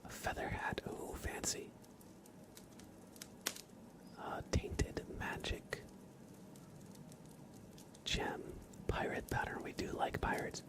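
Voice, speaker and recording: A man hosting an ASMR livestream, chatting casually and gently with viewers in a soft, breathy whisper. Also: takes it slowly, long pauses. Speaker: live ASMR streamer and host.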